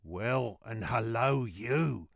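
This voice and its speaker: A man puts on a rough rider bringing messages in a storybook. The voice is hoarse and coarse, recorded close and dry. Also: mid-range voice, lively intonation, extremely slow, no room sound, heavily acted. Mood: dogged. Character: hoarse, rough male messenger on horseback. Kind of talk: dramatised storybook reading.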